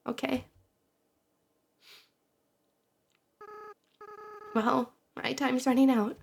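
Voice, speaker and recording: A woman voicing a remorseful young goat monster boy who speaks softly and pleads, close to tears. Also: fairly high pitch, gravelly, slight background noise. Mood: encouraging. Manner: soft, pleading, near tears. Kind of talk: fan voice-over of a game.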